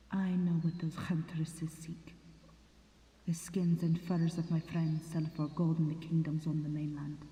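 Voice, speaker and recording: A woman playing a reclusive jungle queen and animal guardian, solemn, commanding and deliberate, in an ASMR audio roleplay. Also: sits low, mellow. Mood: wary, curious. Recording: long echoing reverb.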